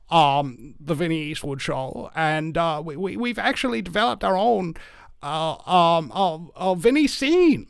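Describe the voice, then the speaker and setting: Comic sidekick: a man playing a high-pitched, stammering male crony, loud and eager. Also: smooth, dry recording, clean studio sound, full character voice. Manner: stammering, hesitant, excitable.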